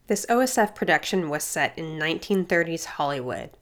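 A woman's voice: deep voice, expressive, fairly quick, a bit bright. Woman narrating a radio feature. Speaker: radio host.

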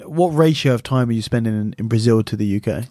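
A man's voice: mid-range voice, quick pace, mellow, no echo, studio quality. A man hosting a casual interview, asking questions and responding as an eager, impressed listener. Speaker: podcast host, interviewer.

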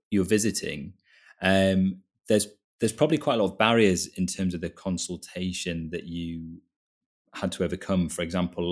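A man with a very low voice leading an interview. He asks long, careful questions and softens them with hedges. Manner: measured, hedging phrasing.

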